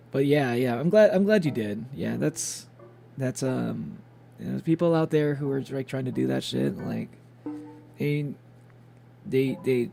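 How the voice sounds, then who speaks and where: A man livestreaming a video game, chatting casually and haltingly with viewers, with informal, sweary speech. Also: medium pitch, vocal fry, a little mellow. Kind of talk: casual chat with stream viewers. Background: game music.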